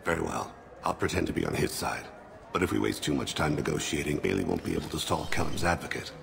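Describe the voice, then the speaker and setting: An actor voicing a solemn male alien assassin in a video game, with measured, formal and poetic diction. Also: rumbling low, vocal fry, crowd noise, full character voice. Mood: calm, measured. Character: soft-spoken, solemn male alien assassin, remorseful father.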